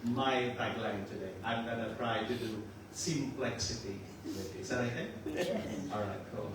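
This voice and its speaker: An older man and pastor from the Philippines, with a Filipino accent touched by Australian, preaching a relaxed, chatty sermon with jokes. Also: mid-range voice, moderate pace. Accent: Filipino with some Australian. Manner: joking and chatty, laughs often. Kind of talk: casual sermon. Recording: echoey far-off room mic with noise.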